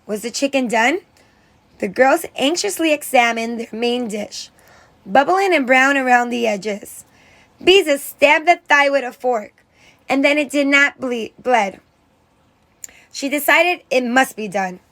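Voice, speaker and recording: High voiced lady teacher doing an expressive storybook read-aloud, dramatic emphasis. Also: a little slow. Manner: dramatic emphasis on exclamations and character lines.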